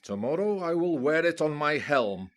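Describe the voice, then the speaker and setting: An actor playing a blunt, proud Greek warrior in Shakespearean verse, imperious and impatient. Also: male voice, on the high side, expressive, normal speed, natural voice. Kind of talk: theatrical Shakespearean verse acting.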